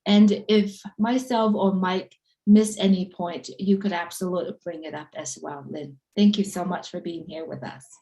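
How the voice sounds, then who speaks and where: Middle-aged woman, live-stream co-host, emphatic promo pitch. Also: fairly even intonation, moderate pace, warm tone, poor audio. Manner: upbeat, emphatic sales talk.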